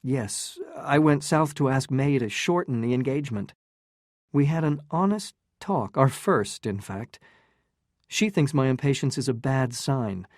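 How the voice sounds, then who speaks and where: A narrator voicing an impatient, torn young gentleman who speaks in a low, urgent, earnest way. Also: lively intonation, unhurried, no echo, studio quality.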